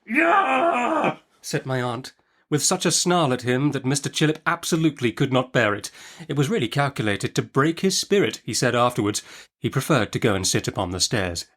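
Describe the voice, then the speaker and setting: Man narrating a classic novel, mid-pitched. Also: fairly quick, a bit bright. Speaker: audiobook narrator. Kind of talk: expressive literary storytelling of a classic novel.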